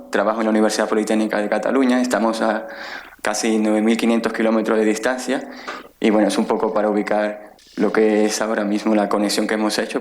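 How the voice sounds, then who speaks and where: Explanatory male lecturer from the Dominican Republic. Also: fairly low pitch. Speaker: university professor, guest lecturer. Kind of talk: academic lecture with slides.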